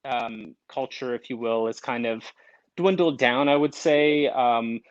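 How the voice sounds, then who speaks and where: A man's voice: medium pitch, fairly even intonation, a little slow. A man from Montreal, a band member guest on a podcast, talking casually in a rambling way with many fillers and restarts. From Montreal, Canada. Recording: slightly dull lo-fi sound.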